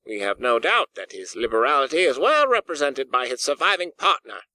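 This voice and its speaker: A man acts out a portly storybook gentleman, courteous and earnest. He speaks formally and politely in a bright, clear-cut voice, recorded very dry. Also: mid-range voice, sing-song, fairly quick, no room sound, pitched above the performer's own voice, poor audio. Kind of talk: audiobook character dialogue.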